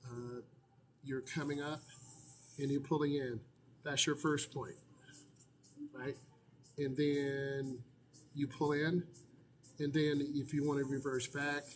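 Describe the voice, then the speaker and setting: A man's voice: medium pitch, slight background noise. A man, a driving instructor, casually answering viewer questions in a live stream, chatty with frequent fillers.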